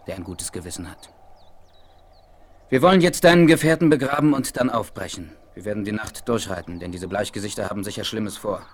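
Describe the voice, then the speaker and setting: An actor playing a noble, observant Apache chief in a 1970s radio play, speaking in a formal, measured, declamatory way. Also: male voice, on the low side, husky. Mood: proud, solemn. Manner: formal, declamatory, measured, addresses others in third person. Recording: noisy 1970s vinyl radio play rip.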